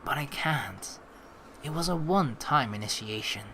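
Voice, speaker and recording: Gamer doing a playthrough, middle pitch, rambly and halting, bit of noise behind. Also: expressive, bright, forceful tone. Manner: rambling, halting when thinking aloud. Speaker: let's player. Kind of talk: game playthrough, reading text aloud and casual commentary.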